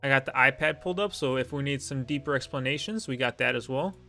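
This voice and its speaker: Gravelly young man chatting on a live stream. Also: medium pitch, quick pace, sharp tone, faint music behind. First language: Lithuanian. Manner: rambling and self-deprecating, many fillers. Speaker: streamer hosting a Q and A. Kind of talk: casual live-stream chat.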